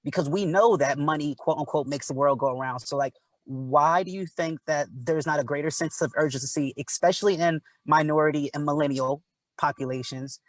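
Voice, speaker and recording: A male moderator asks a guest long, winding questions at a town hall. His voice sits a little high, and he is casual and encouraging. The sound is dry and close, with no room echo. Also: a little fast. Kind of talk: asking interview questions.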